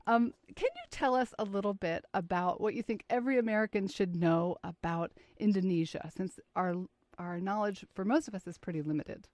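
A woman interviewing a guest, asking gentle prompting questions. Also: mid-range voice, a little fast, hardly any pauses, no room sound. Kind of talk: oral history interview.

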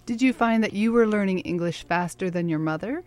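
A woman hosting a conversational interview, welcoming and curious, putting clearly phrased questions to her guest. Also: medium pitch, fairly quick. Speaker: interviewer, host.

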